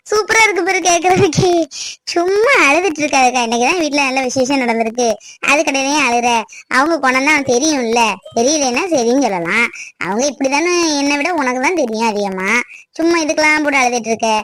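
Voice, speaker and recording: A woman's voice: high voice, brisk, fairly bright tone. A woman playing a cheery, teasing younger sister in a family drama, breezy and coaxing, with a southern Tamil Nadu accent.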